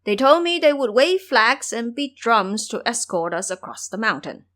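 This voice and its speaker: Foolish male pig creature, acted by a woman, cowardly, blustering, whining, widely swinging pitch, audio drama. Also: big pitch swings, moderate pace, fairly bright tone. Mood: boastful.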